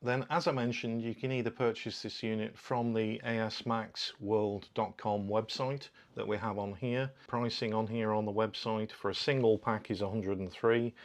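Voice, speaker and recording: Low-voiced man, casual demonstrator. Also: steady tone, moderate pace, few pauses. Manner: informal, step-by-step explaining. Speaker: product review host. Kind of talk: casual unboxing and fitting demonstration.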